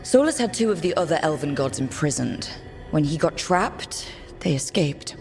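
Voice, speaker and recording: An actor voicing a calm, wry elven leader in a fantasy video game, explaining things casually and steadily over music. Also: mid-range voice, normal speed. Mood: grave. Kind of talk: video game cutscene dialogue.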